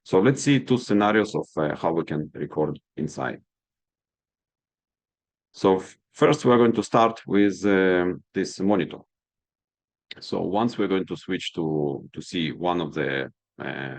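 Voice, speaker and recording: A man's voice: sits low, creaky, no room sound. A man presenting a product demonstration as a trainer, explaining each step in an instructional way. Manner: step-by-step, instructional, checking understanding.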